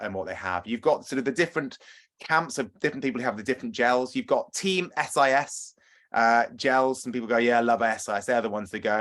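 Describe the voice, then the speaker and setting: Friendly male coach hosting a webinar Q&A, casual and lively. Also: mid-range voice. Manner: chatty, upbeat, asks audience questions. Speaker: running coach. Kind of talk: webinar talk and Q&A.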